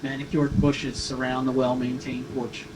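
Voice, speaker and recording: A man's voice: medium pitch, monotone, normal speed, a little mellow. A man reading stage directions as scripted narration at a screenplay table read. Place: room at a live table read. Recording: distant mic, faint room noise.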